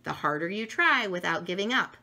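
A middle-aged woman reading an educational children's book aloud with deliberate, emphatic phrasing for young listeners. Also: fairly low pitch, lively intonation, creaky, sharp tone. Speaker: storyteller reading picture books. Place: home dining room, indoors.

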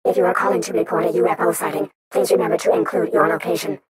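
A woman voicing a deadpan recorded phone operator for an alien-investigation agency. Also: fast talker, a loud crowd, lightly acted. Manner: deadpan, recorded-message delivery. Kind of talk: game voice acting.

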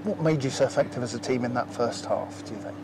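A man's voice: medium pitch, fast talker, a crowd in the background. A man interviewing a footballer outdoors at a ground at night, asking friendly, hesitant questions. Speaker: club media interviewer. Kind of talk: post-match interview questions. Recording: outdoor ambience with clear background noise.

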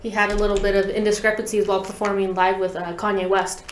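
A woman's voice: mid-range voice, flat delivery, fast talker. A young woman guest musician explaining things in a casual, slangy way in an interview. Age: young adult. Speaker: guest musician, talk box player. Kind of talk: casual interview chat with demonstration. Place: small podcast studio, indoors. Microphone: tabletop podcast microphone. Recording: roomy echo, light background noise.

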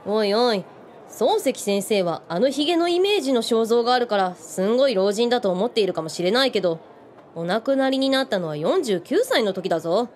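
A tough, bookish woman voiced by an actress holds forth in a comic radio drama. Her fairly high voice swings freely, with blunt, teasing, rough wording. Faint background noise sits behind her. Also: animated, a little fast, a little mellow, lightly acted. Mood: explaining, teasing.

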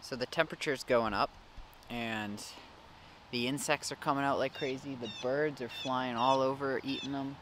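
Young adult American male, casual vlogger chat, mid-pitched voice, clear background noise on the recording. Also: steady tone, normal speed. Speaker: fishing vlogger. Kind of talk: casual vlog chat to camera. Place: outdoors by a river, sometimes in a car.

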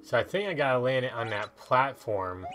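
A man hosts a gaming video in a medium-pitched voice. He talks casually and thinks out loud as he plays. Game music runs clearly behind his commentary. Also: lively intonation, normal speed. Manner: chatty, thinking aloud while playing.

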